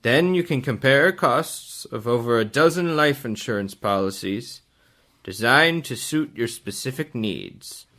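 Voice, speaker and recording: Sponsor spot: blunt, solemn executive played by a man, slow delivery, bright forceful tone. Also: mid-range voice. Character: blunt, solemn male insurance executive giving a sponsor's pitch. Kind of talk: scripted radio advertisement.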